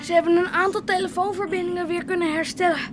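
A woman's voice: very high voice, fairly even intonation, fast, over music. A woman playing a worried young villager in a Dutch radio drama, soberly passing on news over noisy ambience. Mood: grave, worried.

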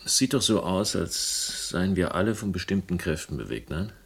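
An actor playing a world-weary, sardonic hero of a radio crime drama, speaking in a dry, laconic way. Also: male voice, low voice, very animated, normal speed, gravelly, fairly bright tone, husky, full character voice. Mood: hesitant, reflective. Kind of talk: German radio crime drama, first-person narration and dialogue. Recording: faint noise, older radio production.

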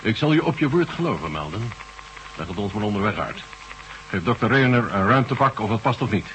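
An actor playing a curt, commanding military marshal in a radio drama. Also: male voice, fairly low pitch, sing-song, fairly quick, fairly bright tone, a little hiss or hum, rough recording. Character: stern commanding military marshal, adult man.

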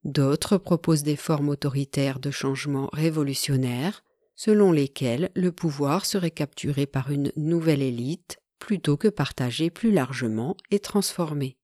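A woman narrating a nonfiction audiobook in a measured, even reading style. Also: low-pitched, no room sound, studio quality.

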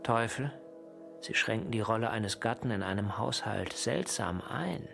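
An actor playing a wry, bookish gentleman lover in a literary radio drama. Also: fairly low pitch, expressive, a little slow, gravelly, music in the background. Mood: wry astonishment.

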